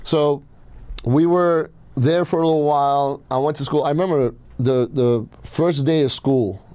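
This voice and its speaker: A man's voice: fairly high pitch, a little slow, dark tone, clean tone, dry recording. A man from Iran telling personal stories casually as a podcast host, blunt and swearing freely.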